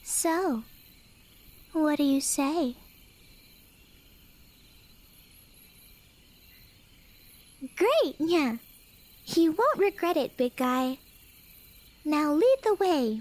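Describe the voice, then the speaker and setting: Girl voice actor doing a playful cheshire cat girl, slow asmr roleplay, high voice, teasing and slangy. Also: lively intonation, darkish tone. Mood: playful, coaxing.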